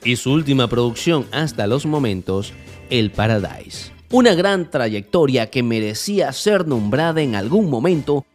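A man with a Latin American Spanish accent presents a radio-style segment over a music bed. His middle-pitched voice swings widely up and down. He reads like an announcer, emphatic and fond of repeating key phrases. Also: lively intonation, a little slow, darkish tone. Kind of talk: scripted radio-style narration. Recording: music playing behind the voice.

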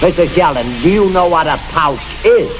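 A man's voice: on the high side, lively intonation, normal speed, close to an everyday voice. A man playing an exasperated, sarcastic quizmaster in vintage radio comedy, emphatic and wisecracking, on a noisy old broadcast. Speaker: quiz show host. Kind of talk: 1940s radio comedy acting.

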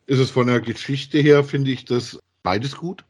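A man, chatting casually as a podcast co-host, thinking aloud haltingly and repeating himself. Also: mid-range voice, steady tone, a little mellow, breathy edge. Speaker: podcast co-host reviewing audio dramas. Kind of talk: casual chat, reviewing audio plays.

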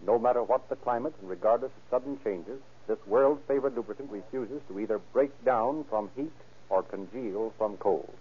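A man's voice: mid-range voice, moderate pace, breathy edge. A man narrating and announcing in a formal, declamatory, scripted 1930s radio style. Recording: muffled, lo-fi old radio recording.

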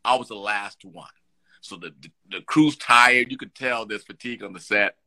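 A middle-aged man, a guest actor, telling animated stories from his work in an interview. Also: fairly low pitch, moderate pace. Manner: animated, lively storyteller with restarts. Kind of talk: storytelling in an interview.

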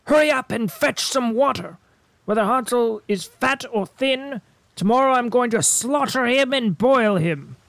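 Wicked crone from a storybook, shrill and scornful, put on by a male narrator, dry close sound. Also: animated, a little slow, vocal fry, a bit bright, no echo, exaggerated voice. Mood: harsh. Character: wicked old witch woman, scornful, greedy.

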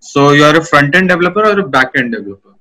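A man hosting a relaxed one-on-one podcast, chatting and putting questions to his guest. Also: on the high side, rapid-fire, rough recording. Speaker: podcast interviewer. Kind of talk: casual interview chat.

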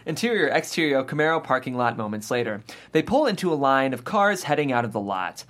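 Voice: medium pitch, expressive, a little fast, a bit bright. A narrator reading the stage directions of a comedy script aloud at a table read.

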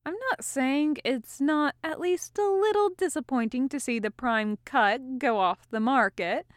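A brassy, commanding adventuress from a fantasy audiobook, put on by a female reader, teasing and careless in manner. She draws out her words on a raised pitch, in a dry space. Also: measured pace, dry recording. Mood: careless, wistful.

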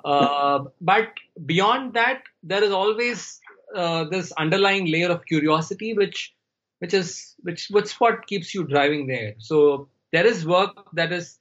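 A man from India, a Tamil speaker, talking slowly and thoughtfully as a podcast guest over a remote call. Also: sits high, fairly bright tone, muffled recording. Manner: slow, searching, thinks aloud. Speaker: guest storyteller. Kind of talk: reflective interview talk.

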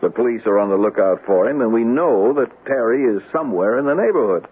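Practical searcher, lively intonation, mid-pitched, plain informal delivery, narrow-band radio play. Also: male voice, quick pace, husky. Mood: matter-of-fact. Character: matter-of-fact man searching for a missing friend. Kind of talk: old-time radio drama acting. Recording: tinny, narrow-band old radio recording, hiss.

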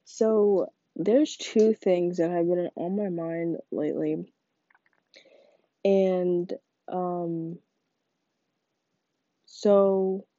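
Young woman podcaster, congested and stuffy, slow rambling delivery, dark mellow tone, dull muffled dry recording. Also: fairly low pitch, no echo. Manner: rambling, frequent "like" fillers. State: congested from a cold. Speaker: podcast host. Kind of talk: casual solo monologue.

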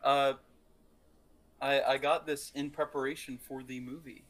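A man's voice: mid-range voice, flat delivery, measured pace, fairly bright tone. Playful man in casual podcast chat. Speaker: podcast co-host. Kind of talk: casual chat about movies.

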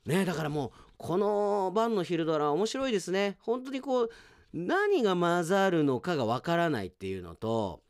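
A man hosting a radio show, chatting casually as he reads out listener mail and comments on it in an animated, chatty way. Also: sits high, moderate pace, clear, resonant tone, no room sound, clean studio sound. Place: dry radio studio.

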